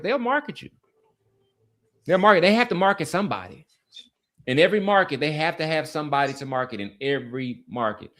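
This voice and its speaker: A man's voice: mid-range voice, fairly bright tone. A man co-hosting a sports podcast, talking casually and arguing his points conversationally.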